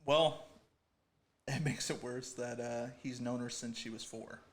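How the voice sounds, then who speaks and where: A man's voice: medium pitch, fairly even intonation, a little slow. A man co-hosting a podcast, chatting casually with wry, teasing asides. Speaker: podcast co-host. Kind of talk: casual chat.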